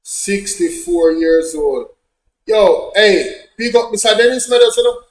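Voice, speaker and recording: A Jamaican man giving animated reaction commentary on a news clip. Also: high voice, a little slow, roomy sound, low-quality recording. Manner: animated, preachy asides. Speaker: online commentator.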